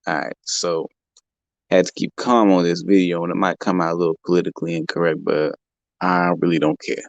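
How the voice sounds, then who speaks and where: Gravelly, heated man ranting on a livestream. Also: sits low, level tone, fairly quick, dry recording, highs cut off. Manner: heated, profane, repeats himself, slangy. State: voice a bit rough from allergies. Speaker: livestream host. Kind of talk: casual livestream rant. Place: home in California.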